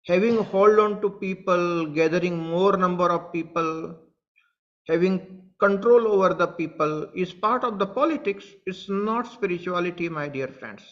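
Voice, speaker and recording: A man's voice: high-pitched, a bit bright, highs cut off. A man with an Indian English accent, a spiritual teacher speaking earnestly to online followers, repeating his points and addressing them directly. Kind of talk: satsang talk to online followers.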